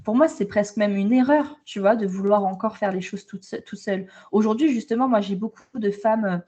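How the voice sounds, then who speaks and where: A woman's voice: medium pitch, fairly quick, dark tone, muffled recording. Direct, emphatic French woman. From France. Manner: direct, emphatic, many repeated starts. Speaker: guest, holistic coach. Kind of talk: casual video interview. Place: travelling abroad, indoors.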